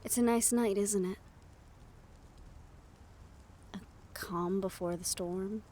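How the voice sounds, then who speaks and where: A woman playing a gentle, teasing but worried young siren in an audio roleplay, speaking softly and intimately to the listener. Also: mid-range voice, normal speed, a little hiss or hum, close to an everyday voice. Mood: calm wistful.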